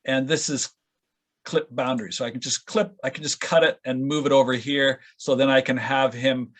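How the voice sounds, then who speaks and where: A man explaining audio editing step by step in an informal, halting way during an online session. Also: mid-range voice, fairly even intonation. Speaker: audio editor demonstrating at a conference session. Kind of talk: informal software tutorial. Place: online video call with screen sharing.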